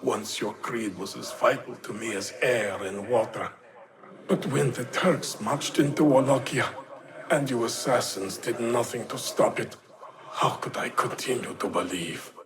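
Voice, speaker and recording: A disillusioned traitor soldier acted by a man declaims in a medium-pitched voice. He is proud, bitter and impassioned, in dramatic game style with clear ambient noise behind him. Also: measured pace, close to an everyday voice. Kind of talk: dramatic video game acting.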